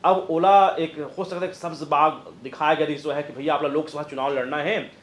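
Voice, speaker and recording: Male political commentator, 30s, Chhattisgarhi accent, higher voice, halting explanations, roomy studio. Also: fairly even intonation. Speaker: news presenter and political analyst. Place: indoor news studio, roomy sound. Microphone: lapel mic.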